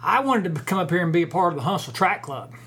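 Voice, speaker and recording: Chatty middle-aged man, slightly high voice. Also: big pitch swings, rapid-fire, fairly bright tone. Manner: chatty, asks questions. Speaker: co-host, club board member. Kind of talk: casual chat.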